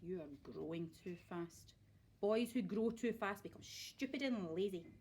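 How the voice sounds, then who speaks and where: A woman's voice: medium pitch, a little slow, darkish tone, husky. A woman voicing a selfish, grumpy, tiny old grandmother who snaps and scolds bossily in a storybook reading. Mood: scornful. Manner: snapping, bossy, scolding.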